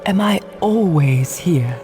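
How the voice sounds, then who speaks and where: A weary ancient ghost, slow, solemn, mournful, game music behind. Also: mid-range voice, expressive.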